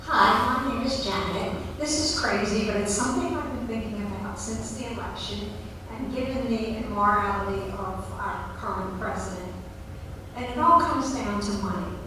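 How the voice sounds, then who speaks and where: A woman's voice: medium pitch, moderate pace. A woman in the audience asking a question, impassioned and rambling. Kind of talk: question from the floor. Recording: echoey hall from far off with noise.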